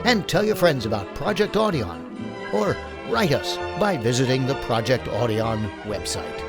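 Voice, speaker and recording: Animated male audio drama host. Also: medium pitch, normal speed, over loud music. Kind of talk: scripted sign-off and credits.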